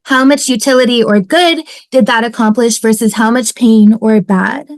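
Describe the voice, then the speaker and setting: A woman's voice: fairly high pitch, moderate pace, no echo, close to an everyday voice. A woman playing a despairing, nihilistic male ethics professor in a sitcom table read. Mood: mock-lecturing. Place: home, on a video call.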